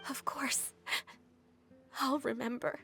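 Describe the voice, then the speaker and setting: A woman's voice: high voice, steady tone, slow, vocal fry, bright, forceful tone, exaggerated voice. A woman playing an anxious, obedient young orphan girl in a video game, with a hesitant, emotional delivery. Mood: subdued. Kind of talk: dramatic video game acting. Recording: background music.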